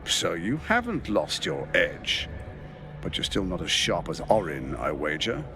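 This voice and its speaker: A man's voice: low voice, sing-song, measured pace, over music, heavily acted. An actor playing a smug, scheming male noble villain in a video game cutscene, sarcastic and condescending. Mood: sneering. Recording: game audio with loud score.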